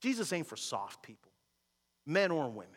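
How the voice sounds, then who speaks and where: A male pastor delivering an emphatic sermon, moving between loud shouted lines and low, quiet asides. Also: big pitch swings, moderate pace. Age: adult with a wife and kids. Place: church sanctuary. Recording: long reverb of a large hall.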